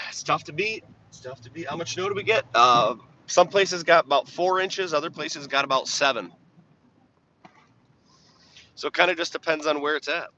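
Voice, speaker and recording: Young guy live streaming from his plow truck, bit raspy, bright voice, dull muffled audio, faint engine hum. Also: fairly high pitch, moderate pace, pauses often. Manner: laid-back, rambling, reads chat aloud. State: slightly raspy voice. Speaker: live streamer plowing snow. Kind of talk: casual live-stream chat. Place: inside a truck while plowing.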